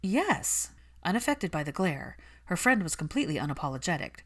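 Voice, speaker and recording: A woman's voice: deep voice, big pitch swings, fast talker. A woman reading fiction as an audiobook narrator.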